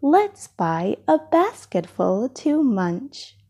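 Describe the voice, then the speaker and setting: A mellow, slightly husky woman reads a picture book aloud for small children. She goes very slowly, with lively rises and falls, phrasing each bit deliberately and expressively. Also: a little high. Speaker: storybook reader. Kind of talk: children's storybook read-aloud.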